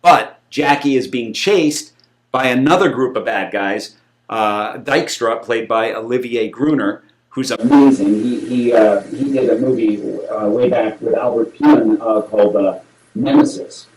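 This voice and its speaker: A man's voice: mid-range voice, unhurried, darkish tone, rough recording. Casting: American male, middle-aged, enthusiastic guest in a casual promotional interview. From Massachusetts, USA. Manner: enthusiastic, effusive. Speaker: film producer, interview guest.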